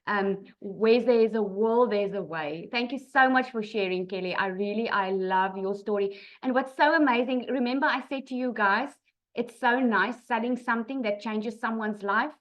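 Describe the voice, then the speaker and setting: A South African woman with a fairly high voice hosts an informal testimonial session. Her delivery is effusive and a little halting. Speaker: host of an online group session.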